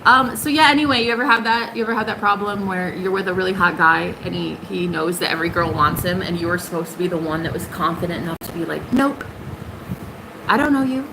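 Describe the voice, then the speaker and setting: A young woman hosting a casual tarot livestream, sassy and emphatic, jumping high in pitch for punchlines. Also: fast talker, vocal fry, noisy background.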